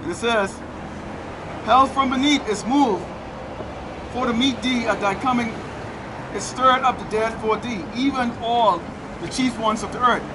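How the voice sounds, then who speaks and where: A man street preaching outdoors, declaiming scripture slowly and firing rhetorical questions at passersby. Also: extremely high pitch. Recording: loud street traffic noise.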